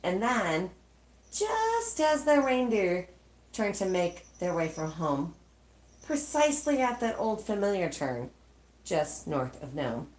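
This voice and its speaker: A woman reading a rhyming children's storybook aloud, with dramatic pauses between short phrases. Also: mid-range voice, roomy sound, low-quality recording.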